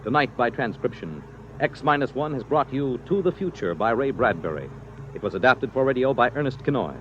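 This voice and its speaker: A male announcer giving the closing credits of a 1950s radio drama in a crisp, measured way. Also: mid-range voice, very animated. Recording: dull old radio transcription with faint hiss.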